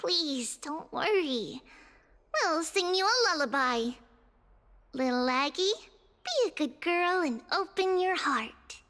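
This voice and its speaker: A woman's voice: extremely high pitch, expressive, slow pace, a bit bright, exaggerated voice. An actress playing a small, childlike girl seer in a fantasy game, caring and old-souled, speaking politely and soothingly. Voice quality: childlike, light. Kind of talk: scripted game voice acting.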